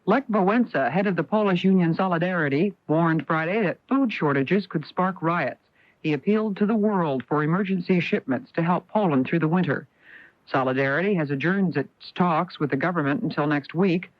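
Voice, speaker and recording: A man's voice: on the high side, normal speed, no echo. An American man reading a scripted newscast as a radio anchor. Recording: dull lo-fi vintage AM radio.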